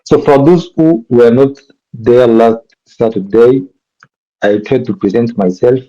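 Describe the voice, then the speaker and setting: A man's voice: mid-range voice, unhurried, warm tone, highs cut off. A man from DR Congo with a French accent, teaching a live coding workshop and often stopping to correct himself mid-sentence. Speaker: workshop instructor.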